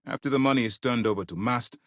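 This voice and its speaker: An actor playing a stern, commanding space military commander in a video game, speaking in a formal, measured, authoritative way. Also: male voice, mid-range voice, a bit bright, no room sound, clean studio sound, natural voice. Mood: composed, formal. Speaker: fleet commander.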